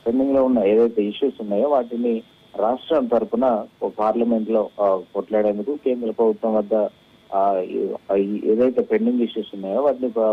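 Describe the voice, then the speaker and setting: A man reporting live as a news correspondent, unscripted and halting, repeating phrases and mixing in English words. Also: on the low side, slightly rough. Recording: tinny narrow-band phone line.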